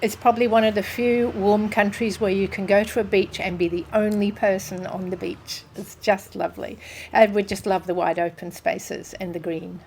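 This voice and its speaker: A woman's voice: medium pitch, steady tone, moderate pace, vocal fry, darkish tone, a little hiss or hum. A woman with a New Zealand accent and a mid-range voice answers interview questions as an Airbnb host. She sounds cheerful and friendly. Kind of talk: casual interview.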